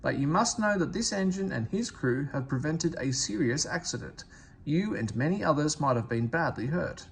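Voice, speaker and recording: A man voicing a dignified, fair and kindly railway boss in a children's storybook, with a measured, authoritative manner. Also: on the high side, expressive, gravelly, slight background noise.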